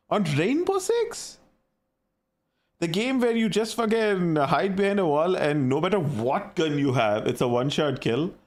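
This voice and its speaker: A young man from India with an Indian accent, chatting casually with his live-stream viewers and reacting to their messages. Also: high-pitched.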